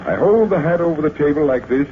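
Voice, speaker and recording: An actor playing an unruffled, suave stage magician detective with calm, teasing showman's patter, in vintage radio drama style. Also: on the high side, fast talker, natural voice. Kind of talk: 1940s radio drama acting. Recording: tinny, noisy old radio recording.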